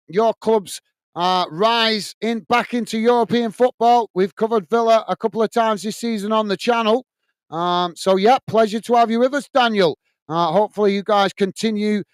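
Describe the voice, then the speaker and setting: A man's voice: sits high, smooth, dry recording. Lancashire man calling a football match live. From Bolton, north of England. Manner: chatty, calls viewers mate, frequent uh fillers. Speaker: football watch-along host. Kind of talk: live match commentary and chat reading. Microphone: earphones and desk microphone.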